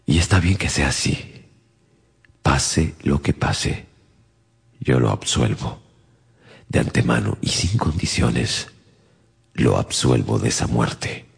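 An actor playing a solemn, wounded, soft-hearted older husband in a radio drama, with a gravelly, husky voice. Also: male voice, a bass voice, sing-song, slow pace, pauses often, heavily acted. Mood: grave, resigned. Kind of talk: Spanish-language radio drama acting.

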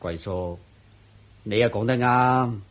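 An actor with a Hong Kong Cantonese accent playing a guilt-ridden, weak-willed village husband in a radio drama, sighing and pleading anxiously. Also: male voice, mid-range voice, slow, natural voice. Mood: sheepish, troubled.

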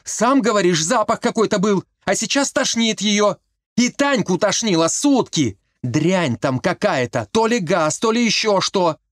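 A man doing a shrill, tearful village herbalist woman. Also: a little fast, few pauses, dry recording, studio quality, lightly acted. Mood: angry. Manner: shrill, sobbing.

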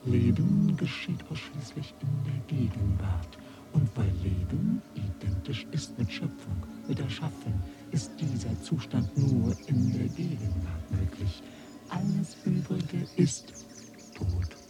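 An actor playing a lofty, philosophical alien authority in a German radio drama, lecturing in a condescending way. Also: male voice, medium pitch, very animated, slow, over loud music. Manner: didactic, condescending.

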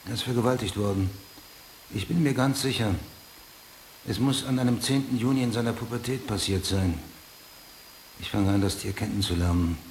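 An actor playing an obsessive, brooding police detective in a German radio drama, speaking in a clipped mutter that drifts toward a whisper. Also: male voice, lowish voice, moderate pace, pauses often, a little mellow, close to an everyday voice. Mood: urgent conviction. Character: obsessive, brooding male police detective hunting a serial killer. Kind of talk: German radio drama acting, interior monologue. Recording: roomy, faint hiss.

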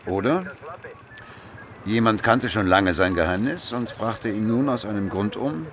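An actor playing a weary, taciturn police deputy inspector in a radio crime drama, speaking in a terse, subdued way. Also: male voice, lowish voice, unhurried, a crowd in the background, close to an everyday voice. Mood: weary, pensive.

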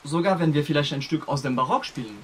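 A man's voice: fairly high pitch, animated, fast talker. A man, a musician, explaining music conversationally in a radio documentary.

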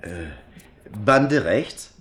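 A man's voice: medium pitch, animated, drawn-out words, a bit bright, a little hiss or hum. An actor playing a courteous, reserved middle-aged businessman in a naturalistic radio play, polite, formal and slightly hesitant. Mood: polite, tentative. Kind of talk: naturalistic radio drama acting.

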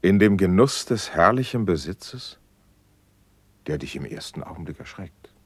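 A gracious noble patron in a classical radio drama. His voice is very low and husky, moving widely in pitch as he declaims verse. The manner is stately and ceremonious. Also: animated, a little slow, dry recording, full character voice. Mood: encouraging. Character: dignified, gracious noble male patron at court.

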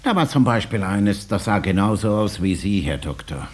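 A put-upon farmer in 1950s radio drama. His voice is deep and rough-edged, with a lively rise and fall. He is stubborn and dryly cheeky. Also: normal speed. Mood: defiant.

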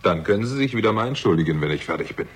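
A man's voice: on the low side, lively intonation, rapid-fire, natural voice. An actor playing a weary, bitter theatrical agent who narrates his own story in a terse, sardonic way in a 1960s German crime radio drama. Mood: defiant.

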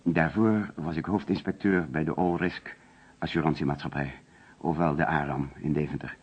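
An actor playing an agitated middle-aged businessman under police questioning in a radio drama, defensive and hesitant, with a husky voice on an old recording. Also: very deep voice, fairly even intonation, normal speed, dull audio. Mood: curt, guarded.

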